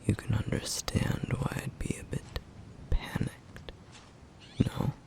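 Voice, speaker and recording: A man voicing a stern yet caring flame demon in an ASMR roleplay, speaking in a hushed, gravelly whisper with measured, formal phrasing. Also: close to an everyday voice. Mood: stern, worried. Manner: measured, formal, slightly old-fashioned phrasing.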